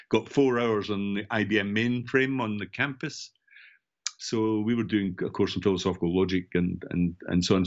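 Older Scottish male podcast guest, discursive conversational delivery, fairly low voice, somewhat dull recording. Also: a bit bright. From central Scotland. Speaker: podcast guest, education technology executive. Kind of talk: conversational interview answers, recounting career.

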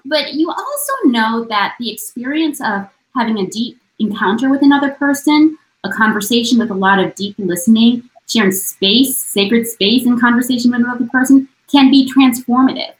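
Woman, fairly high voice, roomy echo, measured and earnest, reflective scholarly remarks. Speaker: scholar on a discussion panel. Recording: roomy, echoing voice pickup.

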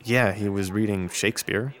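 A young man acting in a radio drama as a self-pitying, brooding teenage high school boy with a casual, downbeat manner. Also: steady tone, normal speed, fairly bright tone, natural voice. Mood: stressed, casual.

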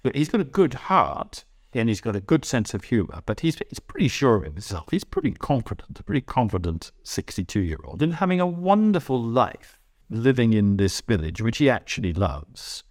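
A man in his sixties talking reflectively in a casual interview as a writer, halting and repeating words as he thinks. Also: medium pitch, very animated, no room sound, studio quality. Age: 60s. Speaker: writer and voice actor. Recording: music behind the voice. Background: plucked guitar music.

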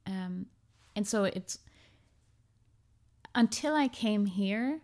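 A woman's voice: mid-range voice, expressive, extremely slow, a little mellow. Woman from germany, podcast guest, reflective, hesitant, searching for words. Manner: reflective, hesitant, many fillers and restarts. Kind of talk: casual conversation.

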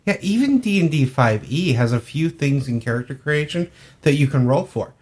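A man's voice: a little high, lively intonation, normal speed, a little mellow. A man speaking as a podcast panelist in a casual, conversational discussion.

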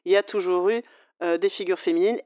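A woman's voice: lowish voice, steady tone, quick pace, no room sound, muffled recording. A woman in casual conversation who talks chattily with frequent hesitations and laughs easily. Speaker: podcast co-host.

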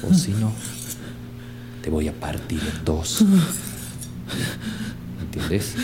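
An actor playing a menacing, foul-mouthed butcher crime boss in a radio drama, questioning deliberately with a Bolivian accent. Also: male voice, on the low side. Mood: threatening. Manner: deliberate, threatening, rhetorical questioning. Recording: clearly noisy background hum and clatter of dishes.

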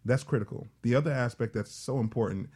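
A man's voice: mid-range voice, fast talker, darkish tone, breathy edge. A man speaking as a panel guest, explaining in business jargon in a conversational discussion. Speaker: panel guest advising on nonprofit management.

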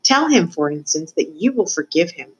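A woman's voice: on the low side, big pitch swings, normal speed, husky, dull audio. Woman voicing a gleefully cruel rat mentor. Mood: sly, lecturing. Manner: theatrical, lecturing, emphatic with repetitions.

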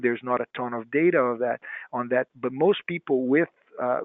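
A Brazilian man, a physician and native Portuguese speaker, talks through treatment advice at a measured, mid-range pitch. He often breaks off and restarts a phrase. His voice comes over a thin, phone-like line that sounds dry, with no room echo. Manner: explanatory, stops to restart phrases. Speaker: guest physician. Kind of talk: clinical podcast interview.

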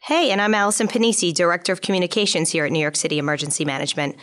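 Fast-talking woman co-hosting a podcast. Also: on the low side, a bit bright. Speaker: podcast co-host and communications director.

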